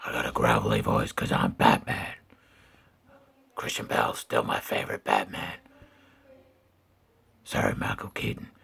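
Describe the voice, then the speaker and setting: A brooding caped vigilante growls out every line, voiced by a man commentating a game. The voice sits very low with thick gravel and crackle, rough and breathy at the edges, and the delivery drags slow and heavy. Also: flat delivery, fairly bright tone, full character voice. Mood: gruff. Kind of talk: gaming commentary in character.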